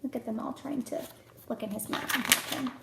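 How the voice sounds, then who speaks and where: Woman, kindergarten teacher, slightly high creaky voice, unhurried storybook reading, child-directed asides, echoey room. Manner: expressive, child-directed, asking questions and commenting. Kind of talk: storybook read-aloud with chatty asides to children. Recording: echoey room with faint noise.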